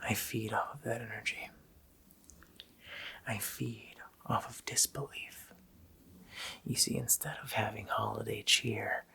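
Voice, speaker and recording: A man voicing a sinister, taunting Christmas demon in a slow, hoarse, menacing whisper for an ASMR roleplay. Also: fairly low pitch, bright, forceful tone, heavily acted. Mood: cold threatening. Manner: slow, menacing, drawn-out phrasing.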